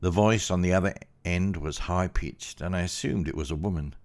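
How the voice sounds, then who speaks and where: Man, low pitch, measured storytelling narration. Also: darkish tone. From Australia. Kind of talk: first-person story narration.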